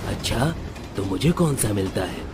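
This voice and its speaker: A man's voice: on the low side, animated, measured pace, music in the background. A man playing a hapless, henpecked ghost in a cartoon, grumbling in an exasperated way. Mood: flustered. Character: hapless, henpecked male ghost train driver in love. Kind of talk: animated horror-comedy story acting.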